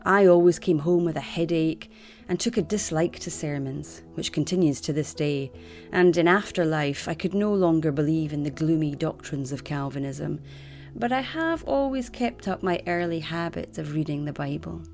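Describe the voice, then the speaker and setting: Low-pitched woman reading a memoir aloud. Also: expressive, normal speed, a little mellow, soft background music. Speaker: reader of memoir excerpts.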